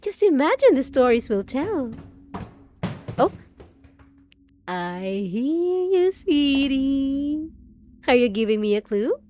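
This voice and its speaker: Slow, possessive undead bride played by a woman. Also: high-pitched, big pitch swings, warm tone, faint music behind, full character voice. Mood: gleeful, hunting. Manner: theatrical, cooing, drawn-out endearments with sinister sweetness. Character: possessive undead bride, sweetly unhinged and menacing. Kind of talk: scripted roleplay audio drama monologue.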